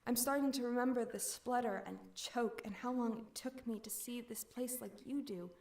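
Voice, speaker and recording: A woman's voice: a little high, moderate pace, darkish tone. A woman reading aloud in a spoken-word poetry recital. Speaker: poetry reader.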